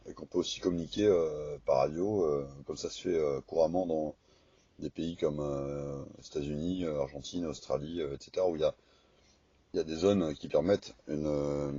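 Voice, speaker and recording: A man's voice: rumbling low, dark tone, breathy edge, dry recording. A man chatting casually over two-way radio, rambling and hesitant in a colloquial way. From France. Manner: rambling, hesitant, colloquial, often ending on "quoi" and "hein". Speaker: radio amateur operator. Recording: slightly dull, lo-fi radio-chat recording.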